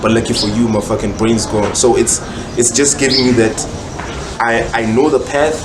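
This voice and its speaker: A man's voice: mid-range voice, steady tone, moderate pace. A South African man talking casually and explaining himself in slang as an interview guest, a rapper and songwriter. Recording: noisy ambience with birdsong.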